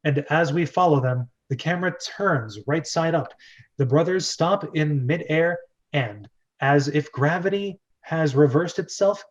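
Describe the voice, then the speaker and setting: Guy with a kinda high voice hosting a script read, jokey theatrical delivery, muffled lo-fi sound. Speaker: host and script reader. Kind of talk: informal screenplay read-aloud with casual asides. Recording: dull lo-fi audio.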